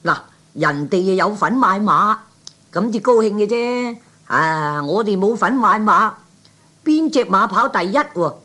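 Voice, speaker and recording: A man voicing a naive, excitable male gambler's sidekick with a querulous, eager manner in a Cantonese 1960s radio comedy. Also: high-pitched, measured pace, heavily acted. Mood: grumbling.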